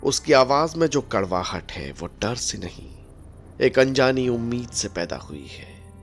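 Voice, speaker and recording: A man narrates an audio drama with great suspense. He speaks slowly, with wide swings in pitch and a tendency to sink into hushed phrases, over a music bed. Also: big pitch swings.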